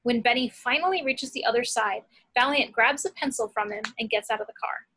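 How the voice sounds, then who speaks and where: A woman's voice: on the high side, fairly quick, bright, forceful tone, a little hiss or hum. A woman narrating action descriptions from a script at an amateur table read.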